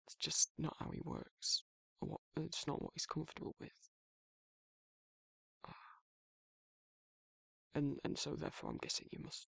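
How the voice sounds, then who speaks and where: Gravelly, bashful British werewolf played by a man. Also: a little high, level tone, bright, forceful tone, no room sound, exaggerated voice. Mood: flustered, coaxing. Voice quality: gravelly, husky, breathy. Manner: flustered, halting, stammering, trailing off. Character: protective, awkward, bashful male werewolf confessing affection. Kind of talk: intimate ASMR romantic roleplay.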